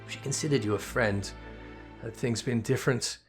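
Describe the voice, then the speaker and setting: A man's voice: medium pitch, moderate pace, soft background music, natural voice. A man voicing a solemn, repentant heretic in a fantasy game, speaking earnestly in formal archaic phrases. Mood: solemn, resigned.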